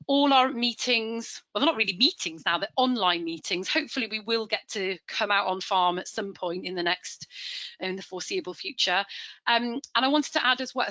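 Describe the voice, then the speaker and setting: A woman's voice: medium pitch, pushed and bright, no echo, dull audio. A woman presenting a farming webinar in a chatty, conversational way, often restarting her sentences. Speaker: livestock advisor presenting a webinar.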